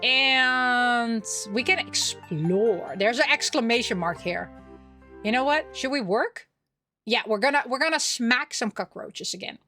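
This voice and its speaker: A woman with a fairly high voice hosts a let's play over clearly audible music. She reads the game's text aloud and adds her own playful, expressive comments. She often chatters to herself as she plays. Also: a little slow. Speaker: gaming video host.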